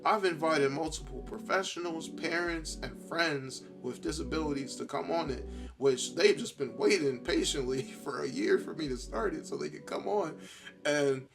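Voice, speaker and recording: A man hosting a solo podcast in a tired, worn voice, thinking aloud casually and haltingly. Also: fairly high pitch, vocal fry, over music. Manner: halting, rambling, self-reflective. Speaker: podcast host.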